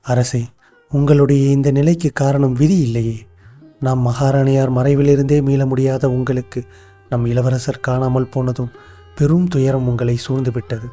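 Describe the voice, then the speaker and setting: A man acting a loyal, cautious royal minister in an animated folktale, with formal, measured court speech. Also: medium pitch, close to an everyday voice. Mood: concerned, respectful. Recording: music under the voice.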